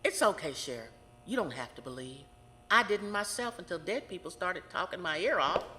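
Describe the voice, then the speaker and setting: A woman playing a world-weary, brooding psychic who hears the dead in an audio horror drama, speaking intensely and confidingly with dramatic pauses. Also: fairly low pitch, animated, sharp tone, in a large hall, slight background noise. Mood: dry, unfazed.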